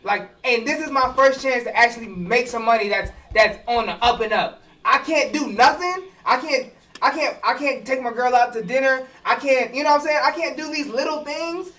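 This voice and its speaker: A man's voice: very high voice, normal speed, sharp tone, far from the mic. A man talking casually on a podcast, emphatic and repeating phrases for stress, recorded off the mic. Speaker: podcast host; former college football player.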